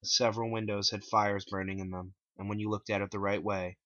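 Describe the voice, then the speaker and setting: A man narrates his own first-person story in a dramatic reading. His voice is bright and forceful, recorded dry and slightly dull. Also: fairly low pitch, level tone, brisk, dry recording.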